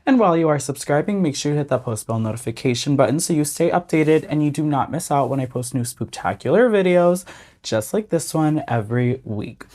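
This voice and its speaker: A man with a slightly hoarse voice hosting a tarot reading channel, talking casually and earnestly to his viewers. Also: on the high side, expressive, brisk, darkish tone. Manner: earnest, often adds "okay?" and "you guys". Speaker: tarot reader and channel host. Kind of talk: casual talk to camera.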